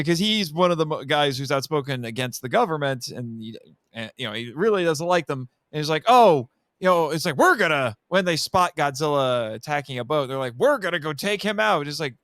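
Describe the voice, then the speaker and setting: A man's voice: a little high, lively intonation, fairly quick, fairly bright tone, no room sound. A man co-hosting a casual film discussion, enthusiastic and emphatic, often repeating himself. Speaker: podcast co-host reviewing a film.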